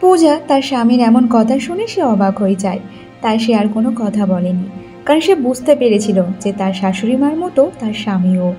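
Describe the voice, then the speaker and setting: A woman narrates a scripted story in a fairly high voice. Music plays behind her as she tells it. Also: animated, fairly quick, dark tone.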